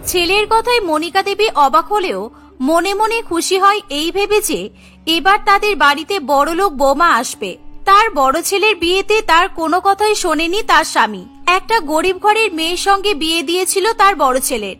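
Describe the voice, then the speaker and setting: Very high, bright woman narrator. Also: lively intonation, moderate pace, soft background music. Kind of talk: scripted story narration for cartoon.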